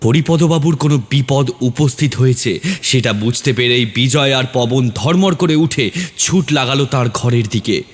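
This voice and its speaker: Male narrator for scripted ghost stories: mid-pitched, suspenseful, dramatic storytelling. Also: moderate pace.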